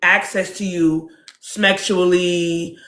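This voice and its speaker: A woman's voice: fairly low pitch, fairly even intonation, takes it slowly. Encouraging woman host in an echoey room. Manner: encouraging, many soft "mm" listening sounds. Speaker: live stream host. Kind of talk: hosting a live call-in chat.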